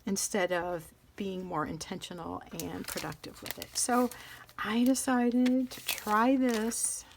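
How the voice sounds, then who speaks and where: A woman's voice: medium pitch, level tone, slow pace, creaky, slight background noise. A middle-aged woman vlogging about planners in casual show-and-tell chat, rambling and interrupting herself as she handles notebooks.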